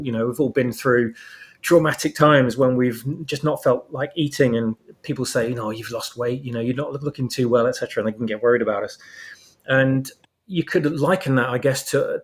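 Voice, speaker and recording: A man giving conversational, reflective commentary as a panelist, explaining his ideas as he goes. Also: mid-range voice. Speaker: podcast guest panelist.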